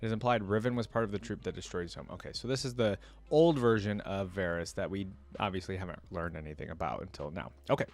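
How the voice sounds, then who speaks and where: A man's voice: sits low, fast. A young man hosting a reaction video, reading passages aloud and breaking off into casual, filler-heavy asides. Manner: reads text aloud, then breaks off with chatty asides and filler.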